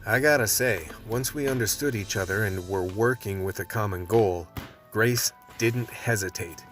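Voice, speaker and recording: A man acting as a tough action hero telling his story in a radio play. His voice is low and the delivery is gritty and plainspoken, like someone recounting a fight he survived. Music runs underneath the narration. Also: normal speed, fairly bright tone, distant mic, close to an everyday voice. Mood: weary, grave.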